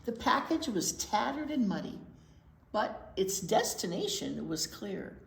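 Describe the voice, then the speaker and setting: A woman reading a picture book aloud to young children as a storytime teacher, expressive and emphatic, explaining things simply. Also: medium pitch, a little slow, gravelly. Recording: roomy echo with faint noise.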